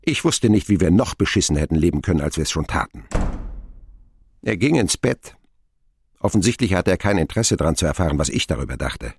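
A deep-voiced older man tells his boyhood story in a radio drama. His delivery is wry and expressive, swinging widely in pitch over a gravelly base. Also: fairly quick, a little hiss or hum, heavily acted. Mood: rueful. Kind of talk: radio drama narration, first person.

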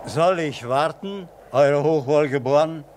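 An actor playing an obsequious Russian sleigh driver in a German radio play. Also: male voice, fairly high pitch, a little slow, noisy background, close to an everyday voice. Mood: servile.

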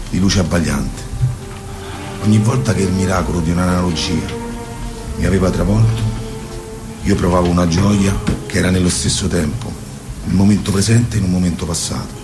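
A low-pitched Roman man, an actor as a calm companion from boyhood. He speaks in a theatrical radio-play manner, with clear music behind him. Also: natural voice. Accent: Roman Italian. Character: old boyhood friend still young, a calm travelling companion. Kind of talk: theatrical radio drama acting.

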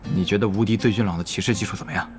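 一名男演员在广播剧里饰演一位警惕、精于算计、说话有威严的网游公会会长。. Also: male voice, on the low side, steady tone, very fast, music in the background, natural voice. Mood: suspicious, scheming. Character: wary, calculating male online game guild leader. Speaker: voice actor. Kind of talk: Chinese audio drama acting.

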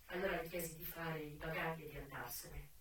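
An actress playing a proud, worldly American brothel madam, delivering a dramatic, emphatic theatre monologue with deliberate pauses. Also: female voice, sits low, steady tone, a bit bright, slight background noise. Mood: proud, recollecting. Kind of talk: theatrical first-person monologue. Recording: echoey stage sound, distant mic, music behind.

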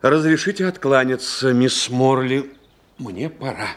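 An actor playing a courteous, reserved doctor who investigates in a radio play, speaking politely and dryly. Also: male voice, medium pitch, expressive, a little slow, close to an everyday voice.

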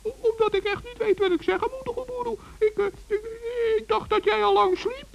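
A man voicing a small old gnome, gentle, shy and dithering, in a children's radio play. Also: very high voice, brisk, gravelly, voice pushed up. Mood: bashful, flustered. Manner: dithering, repeats himself, talks in diminutives. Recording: dull old radio recording.

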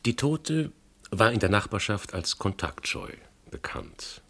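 An actor playing a shrewd, methodical police inspector in a German radio crime drama, reasoning insistently with a gravelly, husky voice. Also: male voice, lowish voice, sing-song, a bit bright, exaggerated voice. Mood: probing, methodical. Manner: deliberate, insistent, reasoning point by point.

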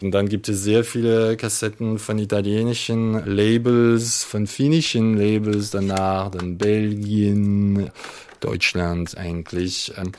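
A man talks casually in an interview, his voice fairly low. He sounds like a shopkeeper showing his stock, speaking off the cuff. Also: a little mellow. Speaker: cassette shop owner.

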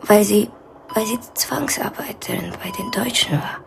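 A woman playing a distraught, ashamed woman in her thirties in a radio drama, halting, hushed and repeating her phrases. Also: mid-range voice, gravelly, noisy background. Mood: anguished, ashamed. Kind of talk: naturalistic radio drama acting.

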